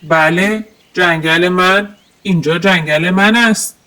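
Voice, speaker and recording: A fat, lazy storybook wolf acted out by a woman, pitched below the narrator's usual talk. He is menacing and domineering, throwing his weight around in a loud, bullying voice. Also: low-quality recording.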